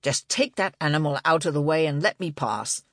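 A woman's voice: sits low, normal speed, fairly bright tone, no echo, clean studio sound. A woman narrator voicing a fussy, gloomy male librarian who grumbles and exclaims, in an audiobook. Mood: cross. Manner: grumpy, exclamatory, muttering.

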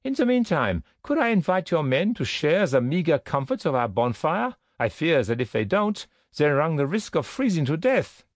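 A man's voice: fairly high pitch, sing-song, moderate pace, few pauses, dry recording, clean studio sound, pitched above the performer's own voice. A man voicing a haughty, wry German SS captain in an audiobook, pompous and over-polite with open disdain. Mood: suave.

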